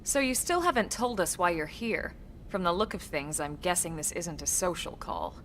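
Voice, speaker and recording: An actress playing a composed, no-nonsense female military officer in a video game, speaking in a crisp, measured, dry way. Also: on the low side, lively intonation, a bit bright, a little hiss or hum. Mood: businesslike. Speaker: voice actor. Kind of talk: scripted video game cutscene acting.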